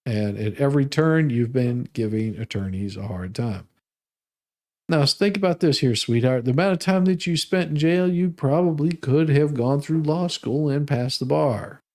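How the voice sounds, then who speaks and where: A man's voice: mid-range voice, normal speed, darkish tone. A man hosting a live stream, reading documents aloud and adding off-the-cuff, sardonic and mocking commentary.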